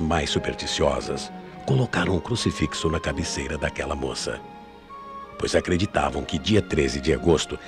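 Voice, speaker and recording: Very deep-voiced Brazilian male radio narrator. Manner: solemn, suspenseful. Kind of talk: dramatic scripted narration of radio mystery tales.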